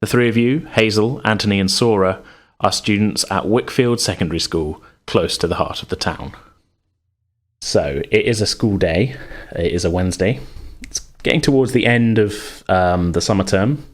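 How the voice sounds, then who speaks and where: A man hosting as game master, narrating a tabletop roleplaying game in a measured way. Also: fairly low pitch. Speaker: game master, podcast host.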